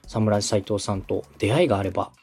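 A man's voice: on the low side, steady tone, a little fast, creaky, a little mellow, husky. A man from Osaka speaking as a podcast host, explaining things step by step in a polite, instructive way. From Osaka, Japan. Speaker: podcast host and coach, radio director. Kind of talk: consulting conversation, explaining step by step.

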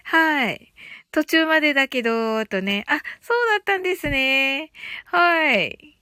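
A woman's voice: high-pitched, lively intonation, unhurried, sharp tone, dry recording, clean studio sound. A woman hosting a livestream, talking to listeners and leading mindfulness. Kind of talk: casual livestream chat.

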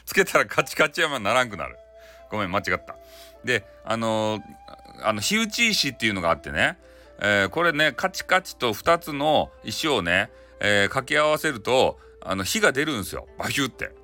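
Lively man storyteller with Hakata accent. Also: medium pitch, brisk, soft background music. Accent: Hakata Japanese. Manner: chatty, playful, with spoken sound effects. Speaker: storyteller, audio channel host. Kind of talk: casual improvised folktale telling in dialect.

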